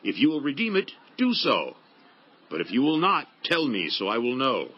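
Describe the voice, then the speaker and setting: A man's voice: mid-range voice, huge pitch swings, moderate pace, breathy edge, dull audio. A man playing a kindly, honorable landowner of ancient Israel in a dramatized Bible reading, earnest and declamatory. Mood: firm, formal. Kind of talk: dramatized Bible audiobook acting.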